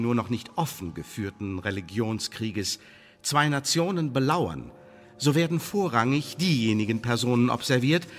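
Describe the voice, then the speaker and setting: Man acting as scornful spymaster interrogator, radio drama, husky, pompous officialese, menacing, animated intonation, long hall reverb. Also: medium pitch, lightly acted. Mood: coldly threatening. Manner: pompous officialese mixed with sardonic colloquial jabs. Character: imperious male secret service interrogator, scornful and menacing. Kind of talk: German radio play acting.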